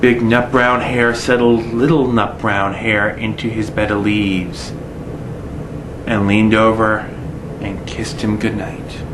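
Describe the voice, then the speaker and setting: A man reading a children's bedtime story aloud in a playful, deliberate, tongue-in-cheek way. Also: lowish voice, little pitch movement, noisy background. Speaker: storybook reader.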